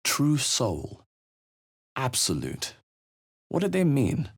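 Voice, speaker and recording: An actor voicing a thoughtful, wary male adventurer in a fantasy video game, with a measured, musing delivery. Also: mid-range voice, huge pitch swings, husky, no echo, clean studio sound, heavily acted. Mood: startled, urgent. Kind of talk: video game character voice lines.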